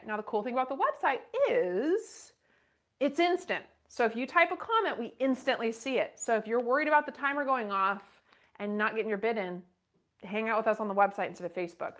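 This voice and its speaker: A woman's voice: a little high, fairly bright tone. A woman in her thirties hosting a live online purse auction in a shop, chatty and bubbly as she calls out bids. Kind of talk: live-stream sales auction. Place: western boutique shop, indoors.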